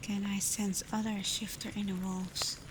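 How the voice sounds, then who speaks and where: A woman playing a tender, protective alpha werewolf in an intimate ASMR roleplay, speaking softly and breathily, often in a whisper. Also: mid-range voice, little pitch movement, lightly acted. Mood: soothing, curious. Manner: slow, gentle, hesitant when confessing feelings. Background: ambient storm noise.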